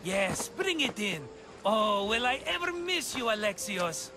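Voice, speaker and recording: A jovial rogue merchant for a video game. He is theatrical and effusive, his pitch high and leaping about dramatically. Clear ambient noise sits behind the voice. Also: very animated, unhurried, full character voice. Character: flamboyant, scheming, jovial middle-aged male rogue merchant. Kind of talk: cinematic video game acting.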